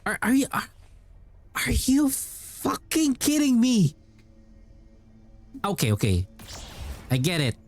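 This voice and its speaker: Unhurried, lively-pitched game streamer. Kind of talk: casual live gameplay commentary. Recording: voice over game music. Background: game music.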